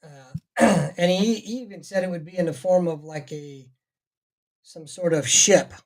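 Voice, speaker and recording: A man co-hosting a casual podcast, with a voice worn rough from a week of yelling. He pitches a little high for a man and talks in blunt, wry asides. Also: a little slow. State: voice strained from yelling. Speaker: podcast co-host. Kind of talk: casual chat.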